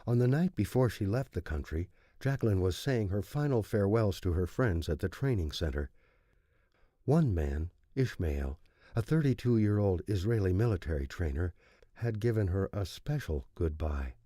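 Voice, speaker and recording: Male audiobook narrator, dark deep tone, scripted suspense storytelling, dry studio sound. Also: lively intonation, normal speed, no room sound. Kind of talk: scripted dramatic thriller narration.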